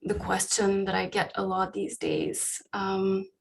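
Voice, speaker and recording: Woman, panel therapist, gravelly fry, measured reading over a video call. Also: medium pitch, completely flat delivery, rough recording. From Ukraine. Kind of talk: reading a prepared personal statement.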